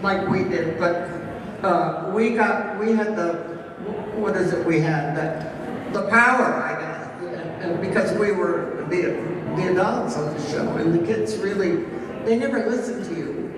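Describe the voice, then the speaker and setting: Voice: medium pitch, measured pace, background noise. Hesitant panelist in an echoing hall. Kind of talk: panel Q&A answers. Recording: echoey hall from far off, crowd.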